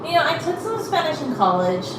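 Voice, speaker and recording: A woman's voice: high-pitched, very animated, brisk, reverberant, distant mic, very noisy. A woman chatting casually in a rambling way with many fillers while she combs out her hair. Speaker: vlogger.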